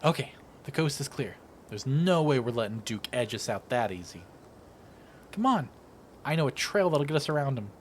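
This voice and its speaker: A man's voice: medium pitch, big pitch swings, normal speed, pauses often, a little hiss or hum, lightly acted. Man putting on an eager, headstrong young woman. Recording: music playing behind the voice.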